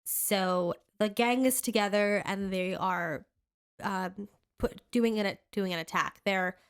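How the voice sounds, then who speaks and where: A woman's voice: mid-range voice, slow pace, smooth. A woman co-hosting a podcast in casual chat, sounding congested and rambling with lots of fillers. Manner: chatty, rambling with many fillers and restarts. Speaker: podcast co-host.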